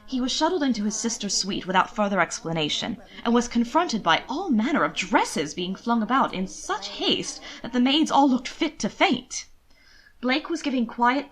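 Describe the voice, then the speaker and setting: A woman reading scripted fiction as an audiobook-style narrator, with expressive storytelling delivery. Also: medium pitch. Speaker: podfic narrator.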